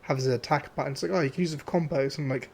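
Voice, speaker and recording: A hoarse man streaming a game, chatting casually and rambling with many fillers and restarts. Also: on the high side, fairly even intonation, racing, a little mellow. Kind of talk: casual gameplay commentary.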